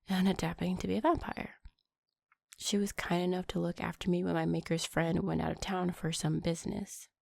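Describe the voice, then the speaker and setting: A woman playing an affectionate, anxious vampire girlfriend in an intimate roleplay audio drama, talking in a soft, chatty way. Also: medium pitch, vocal fry, no echo, studio quality. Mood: calmer, tender. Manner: soft, chatty, sighing and giggling, breaking into whispers.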